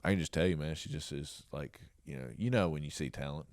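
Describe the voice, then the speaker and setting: A middle-aged man with a deep, booming voice and a Southern Georgia accent, rambling through stories with chuckles and fillers as a podcast guest. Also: quick pace, darkish tone. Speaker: podcast guest, jiu jitsu coach. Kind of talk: casual interview storytelling.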